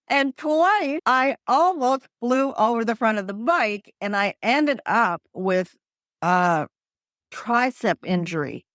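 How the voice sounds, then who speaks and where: A woman in her fifties, a pickleball coach, tells lively stories and laughs as she goes. Her voice sits in a middle pitch. The recording is dry and close, with no room sound. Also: no echo. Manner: animated storytelling, laughs often, acts out her own quotes. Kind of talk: podcast interview guest.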